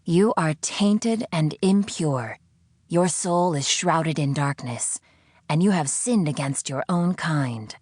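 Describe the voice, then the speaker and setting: A woman's voice: low-pitched, a little mellow, husky, dry recording, studio quality, heavily acted. A woman voicing a condescending, preachy captor. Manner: condescending, slow, sanctimonious. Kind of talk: audiobook character acting.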